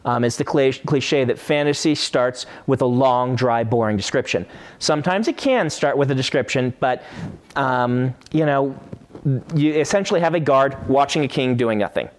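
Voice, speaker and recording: A man with a medium-pitched voice speaks as a podcast host. He talks casually, giving critique from a writer's point of view. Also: moderate pace.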